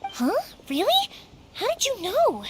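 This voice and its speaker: A woman's voice: very high-pitched, wildly swooping pitch, unhurried, loud music behind the voice, full character voice. A woman voicing a cheerful, chatty young fireworks maker in a video game, eager to reassure and given to rambling. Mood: surprised. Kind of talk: English video game voice acting.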